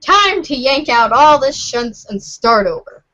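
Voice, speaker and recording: A woman's voice: high-pitched, sing-song, measured pace, pushed and bright. A woman reading webcomic narration aloud in an informal, giggly way for a fan podcast. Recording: dull lo-fi voice recording.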